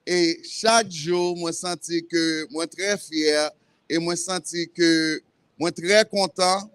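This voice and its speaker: A man with a Haitian accent and Creole as his first language makes personal remarks in public. His voice is smooth and slightly high, captured dry. He speaks slowly, in a measured, halting way. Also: fairly even intonation, fairly bright tone, no room sound. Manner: halting, measured, with self-corrections. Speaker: librarian, campaign participant. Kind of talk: prepared personal remarks at a press conference. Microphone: event microphone.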